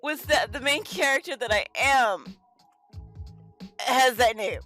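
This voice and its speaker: A woman chatting casually as she plays a game with friends, with an excitable, giggly manner. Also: on the high side, takes it slowly, faint music behind. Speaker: game player, streamer. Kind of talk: casual playthrough chat with friends, some dialogue read aloud.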